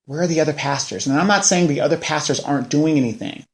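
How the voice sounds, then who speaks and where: A man's voice: fairly high pitch, quick pace. A man in his forties hosting a local news show, ranting in a casual way and firing off rhetorical questions. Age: 40s. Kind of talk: casual political commentary.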